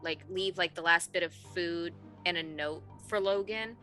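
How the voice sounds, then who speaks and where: A woman casually describing her character's actions as a tabletop roleplaying player, thinking aloud in a halting, informal way. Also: fairly low pitch, sharp tone, faint music behind.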